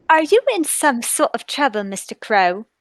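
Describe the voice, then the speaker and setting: An actress playing a kindly, concerned lady friend in a radio-play table read, gentle, fussing and dramatic. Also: fairly high pitch, no room sound. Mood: soothing, puzzled.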